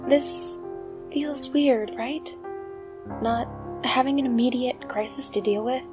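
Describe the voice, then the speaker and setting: A woman's voice: high-pitched, takes it slowly, over music. An actress playing a wry, affectionate woman in an audio drama, speaking intimately and without hurry. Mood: wry, tender.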